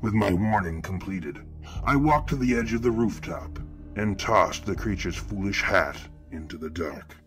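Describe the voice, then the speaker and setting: A man playing a grandiose, menacing killer who sees murder as art, narrating a horror audio drama in a florid, pompous, deliberate way. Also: deep voice, lively intonation, fairly bright tone, heavily acted. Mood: sinister relish. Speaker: narrator of a horror fiction podcast. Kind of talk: scripted first-person horror narration, theatrical. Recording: narration over prominent music bed. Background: music.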